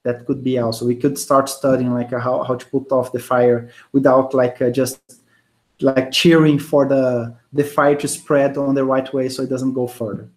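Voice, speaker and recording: A man in his thirties speaks English with a Brazilian Portuguese accent, giving an easygoing online university lecture. His pitch sits in a middle range, and he talks in a loose, conversational way, full of fillers and restarts. He is recorded in a small home office through a wired earphone mic on a video call. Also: moderate pace. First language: not English. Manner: relaxed, conversational, many fillers and restarts, gestures with hands. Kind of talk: casual online lecture answering student questions.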